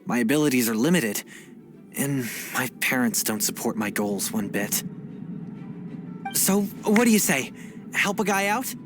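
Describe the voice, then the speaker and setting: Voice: mid-range voice, animated, unhurried, long pauses, natural voice. Actor doing a naive eager young guy adventurer in a game, boyish and sincere, bit of music behind. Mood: confiding. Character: earnest, naive young male rookie adventurer. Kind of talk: video game voice acting.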